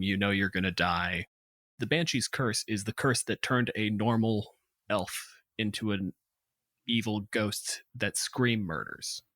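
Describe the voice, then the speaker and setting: Measured man with a fairly low voice. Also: a bit bright, no room sound, clean studio sound. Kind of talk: analytical casual discussion.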